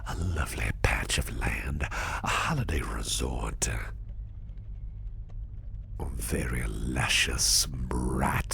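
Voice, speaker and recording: A man playing a suave, protective, slyly teasing businessman boss in an ASMR roleplay, speaking in a gravelly, breathy half-whisper with slow, deliberate phrasing. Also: extremely low pitch, heavily acted. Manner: slow, deliberate, softly spoken with repeated phrases. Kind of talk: ASMR roleplay, personal attention.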